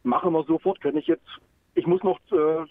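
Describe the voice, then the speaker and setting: A man from Saxony-Anhalt calling in from his car, chatting in a relaxed way. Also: a little high, moderate pace, no room sound. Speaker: caller. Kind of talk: casual phone chat. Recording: tinny phone line.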